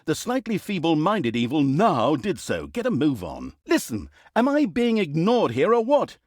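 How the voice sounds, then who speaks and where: A man's voice: a little high, wildly swooping pitch, moderate pace, no room sound, studio quality. A man playing a snarky, self-aware storyteller in a fantasy video game, narrating with pompous, mock-grandiose flourish and wry asides. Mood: exasperated.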